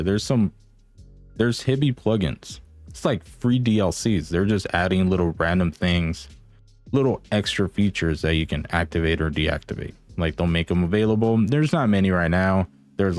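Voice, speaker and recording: Low voiced guy chatting through a gadget review, slangy n teasing, music going behind him. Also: a little mellow. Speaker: product reviewer.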